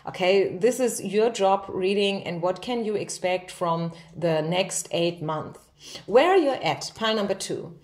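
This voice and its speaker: A woman speaks as a tarot reader at a medium pitch. She talks informally and straight to the listener, reassuring and direct. She often adds small check questions to see that the listener follows. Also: lively intonation, unhurried, a little mellow. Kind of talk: informal spoken tarot reading to viewers.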